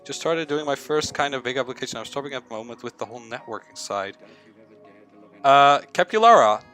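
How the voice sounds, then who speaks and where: A man's voice: medium pitch, expressive, a little fast, pushed and bright, faint music behind. A young man from the Netherlands with a Dutch accent, streaming a live coding session, rambling to himself and chatting with viewers. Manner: rambling, talks to himself, hums filler syllables, swears casually.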